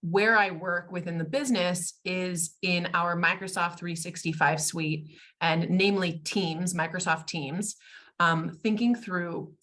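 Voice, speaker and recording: An American woman, a guest expert in a webinar interview, talking fluently in a polished and warm way. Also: on the low side, fairly even intonation, unhurried. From United States. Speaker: guest, tech company expert.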